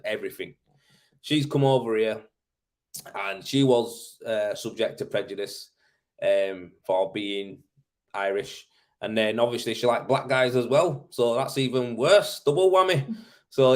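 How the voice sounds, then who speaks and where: A man from Leeds with a Yorkshire accent, talking informally and colloquially as he hosts a casual livestream and answers questions. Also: medium pitch. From Leeds, Yorkshire, England. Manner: informal, matey, colloquial, thinking aloud. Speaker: live show host, tradesman in plastering.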